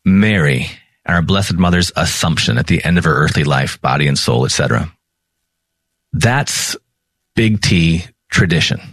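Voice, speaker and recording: A man with an American accent and a low-pitched voice, recorded dry. He teaches in an informal, explanatory way, drawing out and stressing the important terms like a theology instructor. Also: animated, measured pace, fairly bright tone, no echo. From Minnesota, United States. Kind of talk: informal explanatory lesson with read-out passages.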